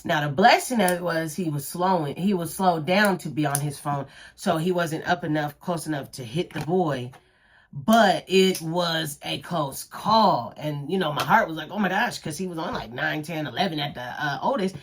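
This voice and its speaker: A woman's voice: deep voice, normal speed, slight background noise. A woman in her thirties giving a casual opinion monologue, speaking emphatically and a bit preachy. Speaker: commentary vlogger.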